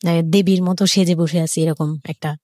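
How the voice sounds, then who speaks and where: A woman with a Bangladeshi accent telling her own story informally as a guest, haltingly, repeating phrases. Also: lowish voice, steady tone, warm tone, no room sound, studio quality.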